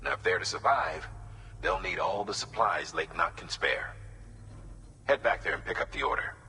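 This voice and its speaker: An authoritative video game commander with a very low voice. He briefs in a measured, instructive tone with the odd folksy aside. The sound is reverberant and noticeably noisy. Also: expressive, pushed and bright, exaggerated voice. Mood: brisk, instructive. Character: steady, authoritative male commander briefing a courier. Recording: echoey reverberant hall, clearly noisy ambience.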